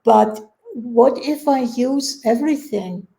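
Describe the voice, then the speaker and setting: Woman thinking out loud, slow and hesitant, lots of vocal fry, casual reflective chat. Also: fairly high pitch, low-quality recording.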